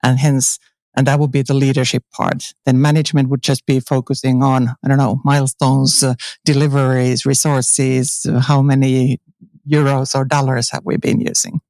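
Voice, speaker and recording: A woman's voice: extremely low pitch, flat delivery, normal speed, darkish tone, no echo. A woman answers interview questions earnestly. Her speech is full of fillers and restarts. The sound is dry and close, with no room. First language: Finnish. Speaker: interview guest, transformation coach. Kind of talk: conversational interview. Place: conference venue.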